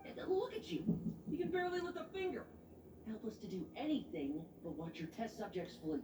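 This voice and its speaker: A distant, echoey game recording of a sly young schemer. The mid-pitched voice is theatrical and deliberate, taunting coolly with broad swings in tone. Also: wildly swooping pitch, background noise. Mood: mocking. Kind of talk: scripted video game acting.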